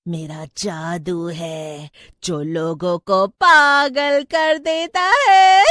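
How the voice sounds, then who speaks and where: A woman playing a gloating, cackling evil witch in an animated horror story. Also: mid-range voice, very slow, dry recording, clean studio sound. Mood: gloating, wicked. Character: gloating evil witch woman who sells cursed bread.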